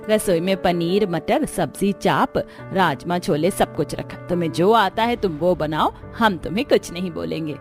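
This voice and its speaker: A woman's voice: lowish voice, moderate pace, close to an everyday voice. A woman voicing a proud, caring middle-aged village mother-in-law in a cartoon family drama, homely in manner. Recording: music behind the voice. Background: music.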